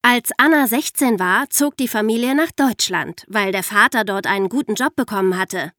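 A woman co-hosting a scripted true-crime podcast, narrating in a lively, lightly playful way. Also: mid-range voice, moderate pace, no room sound, studio quality. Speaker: podcast co-host.

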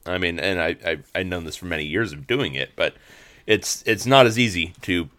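Hoarse low-voiced man hosting a podcast. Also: moderate pace, fairly bright tone. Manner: rambling, many fillers and restarts. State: slightly hoarse. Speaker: podcast host and sports reporter. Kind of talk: casual sports podcast chat.

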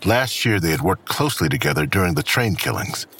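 A man narrating a scripted crime audio drama as a brooding storyteller, with a gravelly, husky voice. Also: low voice, sing-song, fairly quick, a bit bright, heavily acted.

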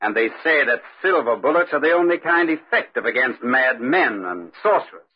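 Brisk commanding nobleman, highly expressive, 1940s radio drama, muffled vintage recording. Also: breathy edge. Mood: brisk, resolute. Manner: brisk, authoritative.

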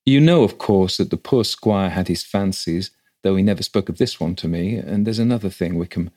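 A man's voice: sits low, fairly quick, dark tone. Country rector, played by a male reader: authoritative, educated, formal and businesslike, for a storybook ghost tale. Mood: grave. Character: brisk, educated, slightly impatient country rector.

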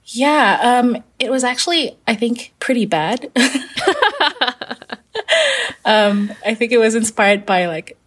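A woman's voice: a little high, slow pace, long pauses. A young woman from Malaysia, a fashion designer answering interview questions in a thoughtful, hesitant way. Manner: thoughtful, many fillers and restarts.

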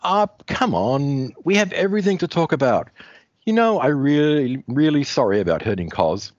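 A man's voice: a little high, big pitch swings, moderate pace, no room sound, pitched above the performer's own voice. A man voicing a smug, pushy young male superhero with a wheedling, dismissive manner. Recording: dull lo-fi dry recording.

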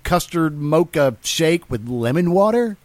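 A man's voice: on the high side, expressive, a little slow, no room sound. A man hosting a casual comedy podcast, asking playful, teasing questions and laughing often. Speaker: podcast host. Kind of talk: casual chat.

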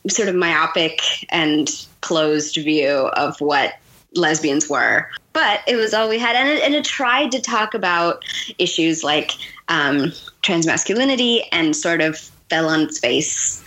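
A woman speaking as a guest in a podcast conversation, in a thoughtful way with frequent pauses. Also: sits low, animated, pushed and bright, rough recording. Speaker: guest, fiction writer and teacher.

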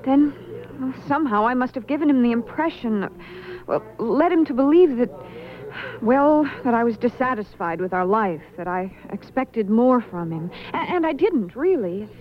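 Wistful widow, played by a woman, fairly high voice, hesitant and emotive, slightly muffled vintage radio sound. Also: lively intonation, moderate pace, close to an everyday voice. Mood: wistful, earnest. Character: earnest, wistful widow of a murdered man. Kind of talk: 1970s radio drama acting.

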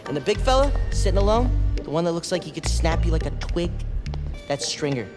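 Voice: mid-range voice, expressive, a little fast, music in the background, lightly acted. An actor playing a manic, paranoid, chatty psychiatric patient in an audio drama, rambling theatrically and slipping into whispers like a playful tour guide. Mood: playful, conspiratorial.

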